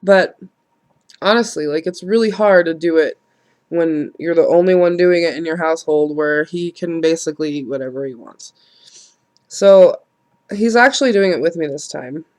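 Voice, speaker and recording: A woman's voice: deep voice, moderate pace, darkish tone. A woman, congested and nasal from a cold, rambling frankly through a casual vlog, swearing and laughing at herself. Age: late 20s. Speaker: vlogger.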